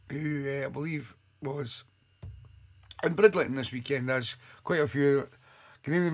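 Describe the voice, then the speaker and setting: A man hosting a live online soul radio show, his voice hoarse and gravelly after a night out, chatting and reeling off listeners' names. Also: on the high side. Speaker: radio DJ and host. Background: soul music playing.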